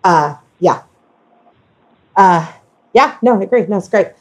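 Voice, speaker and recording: A woman's voice: mid-range voice, lively intonation, unhurried, a little mellow. A woman hosting a casual conversational discussion, speaking emphatically and repeating phrases for stress. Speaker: podcast host.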